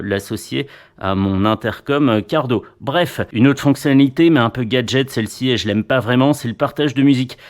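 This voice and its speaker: A man reviewing motorcycle gear in a casual, chatty way, with playful little asides. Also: medium pitch.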